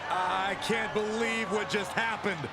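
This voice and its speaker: Ringside announcer for a game: dramatic, very high, swooping male voice over loud arena noise. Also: steady tone, a little slow, a loud crowd. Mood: dramatic, alarmed. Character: dramatic male wrestling commentator. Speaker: wrestling commentator. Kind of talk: excited sports commentary in a game.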